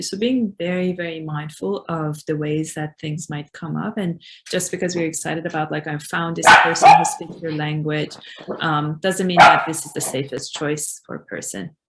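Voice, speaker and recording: Woman, native Farsi speaker, low-pitched, gravelly, thoughtful, webinar talk. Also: fairly even intonation, normal speed. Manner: earnest, thoughtful, many fillers. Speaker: webinar co-presenter.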